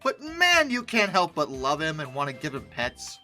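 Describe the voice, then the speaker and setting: A video host delivers sarcastic comic commentary. The voice is bright and forceful, with animated pitch swings and mock-incredulous exasperation, over faint background music. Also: moderate pace. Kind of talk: comic commentary on dinosaur art.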